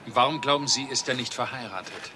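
An actor playing an inquisitive police detective who asks questions tactfully in a German crime radio play. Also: male voice, medium pitch, a little fast, natural voice. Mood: probing. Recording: noisy old radio play recording.

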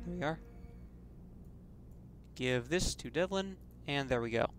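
A man's voice: fairly high pitch, animated, unhurried. A man casually commenting on his role-playing game play, thinking aloud and poking fun at himself. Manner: chatty, self-deprecating, thinking aloud. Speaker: let's play gamer. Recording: loud game music behind. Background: loud game music.

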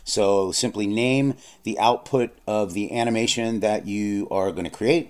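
A man narrating a screen-recorded software tutorial, explaining steps plainly with frequent fillers and restarts. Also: lowish voice. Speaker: software instructor.

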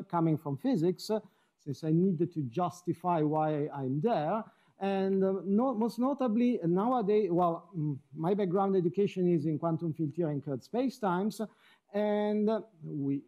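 A man's voice: high voice, mellow, lo-fi sound. A middle-aged man from Switzerland, giving an academic seminar talk in a lecture hall, wry and self-deprecating, often rephrasing mid-sentence.

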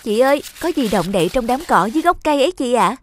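A woman voicing a kind, helpful young sister in an animated fairy tale, eager and concerned. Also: a little high, fast talker, voice pushed up, natural voice. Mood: curious. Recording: music under the voice.

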